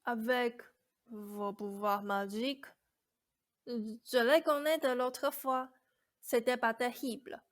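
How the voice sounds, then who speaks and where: A woman's voice: a little high, fairly even intonation, slow pace, pauses often. A woman acting as a sullen, skeptical young heroine in a fairy-tale radio play, dry, stubborn and guarded. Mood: doubtful. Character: sullen, skeptical young Cinderella who challenges her fairy.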